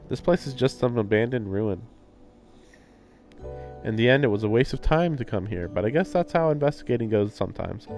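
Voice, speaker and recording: A man livestreaming a visual novel, casually reading narration aloud and adding sardonic, incredulous asides. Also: medium pitch. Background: game music.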